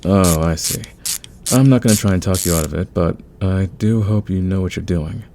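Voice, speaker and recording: A man playing a calm, friendly veteran thief in an ASMR audio roleplay, speaking in a patient, soothing, conversational way. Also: low voice, moderate pace, a little hiss or hum, natural voice. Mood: friendly, easygoing. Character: calm, friendly veteran male thief smoothing over team tensions.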